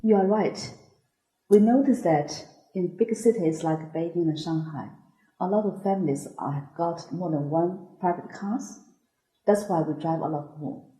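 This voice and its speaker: A woman leads a scripted student discussion, asking measured questions of the group. Her voice sits a little low and mellow. The room around her echoes noticeably.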